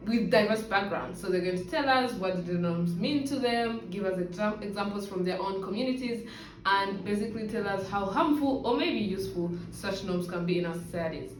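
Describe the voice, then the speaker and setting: A woman's voice: medium pitch, normal speed, a little hiss or hum. A young woman, a university student, hosting and introducing a video in a friendly, casual way with frequent fillers. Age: young adult. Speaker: host. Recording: roomy, slightly distant.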